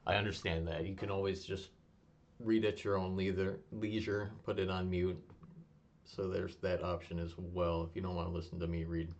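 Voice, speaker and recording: A young man with a fairly low voice reads his own poetry aloud, steady and low-key, close to monotone. The sound is a little dull and lo-fi, like a simple home recording. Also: normal speed. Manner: low-key, even, self-described monotonous delivery. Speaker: poet reading his own work. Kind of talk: spoken word poetry reading.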